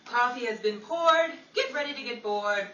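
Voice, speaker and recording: A woman's voice: fairly high pitch, lively intonation, moderate pace, bright, forceful tone, lo-fi sound. A woman announcing a 1940s-style radio show with jokey, theatrical patter. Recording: echoey, distant, noisy live room with crowd.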